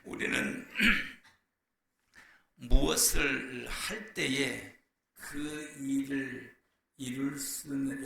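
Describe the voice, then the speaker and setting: A man preaching a church sermon as a pastor, hoarse from a cold, in a deliberate, explanatory way with pauses. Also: medium pitch, sharp tone. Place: church, speaking to a congregation. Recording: echoey room with faint background noise.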